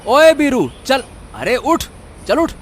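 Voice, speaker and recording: A man voicing a bossy, scheming, foolishly hopeful villager who exclaims theatrically in a comic animated story. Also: sits high, takes it slowly, slight background noise, heavily acted. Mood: bossy, excited.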